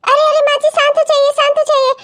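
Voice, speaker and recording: A woman's voice: extremely high pitch, little pitch movement, fast, a bit bright. A woman playing a meek, peacekeeping elder daughter-in-law in a family melodrama, soothing and submissive. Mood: pleading, soothing. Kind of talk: Hindi family melodrama story acting.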